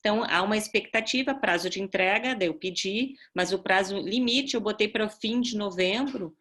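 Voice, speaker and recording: A woman's voice: medium pitch, fairly quick, fairly bright tone. A woman with a Brazilian accent, speaking informally as a teacher explaining things in an online meeting.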